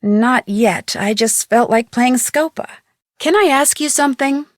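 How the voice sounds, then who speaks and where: A woman's voice: high voice, unhurried, no room sound, clean studio sound. An actress playing a young American female undercover sleuth in a video game, polite and straightforward, with an American accent. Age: young adult. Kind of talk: clean video game dialogue.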